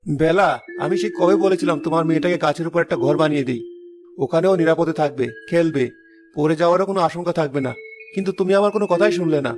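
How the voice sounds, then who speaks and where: A man's voice: fairly high pitch, fast, natural voice. A man voicing an indulgent, protective village father in cartoon fairy-tale dialogue. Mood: coaxing. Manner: coaxing, protective.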